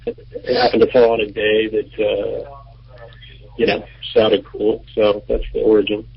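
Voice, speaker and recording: Husky thirties man, relaxed and rambling. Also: fairly low pitch, moderate pace. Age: 30s. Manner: relaxed, rambling, many fillers. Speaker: band member, producer. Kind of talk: casual radio interview. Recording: muffled lo-fi radio recording.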